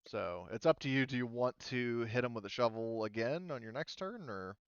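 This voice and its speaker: Clear-voiced male game master, slightly muffled mic. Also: medium pitch, expressive, normal speed, dry recording. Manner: thinks aloud while looking up rules. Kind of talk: running a tabletop roleplaying game, casual explanation.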